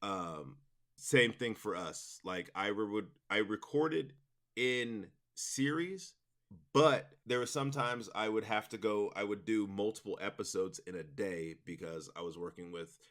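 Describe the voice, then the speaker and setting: A man's voice: fairly low pitch, a little slow, a bit bright. A man talking casually and enthusiastically as an interview guest about his work, rambling in an informal way. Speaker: interview guest, audio drama producer.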